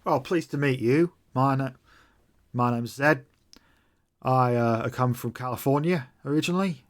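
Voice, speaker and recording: A man's voice: mid-range voice, normal speed, lightly acted. A man voicing a foul-mouthed mutant gun runner. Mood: chatty. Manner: blunt, swearing, rambling.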